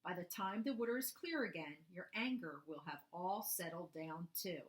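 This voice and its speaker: A woman voicing a kindly, patient old grandfather cow in a storybook, gentle and coaxing. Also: fairly low pitch, moderate pace, echoey room, close to an everyday voice.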